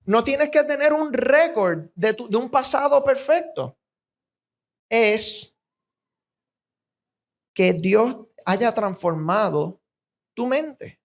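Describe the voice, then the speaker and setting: A man's voice: extremely high pitch, lively intonation, slow, long pauses. A man from Puerto Rico with a Puerto Rican accent, preaching a sermon with emphatic, rhythmic repetition that rises on key points. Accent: Puerto Rican Spanish.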